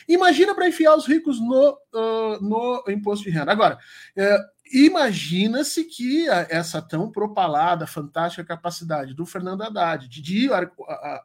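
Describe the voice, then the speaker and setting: A man's voice: high-pitched, slightly rough. A man with a Brazilian accent giving emphatic, opinionated political commentary in a conversational way, as a guest on a live show.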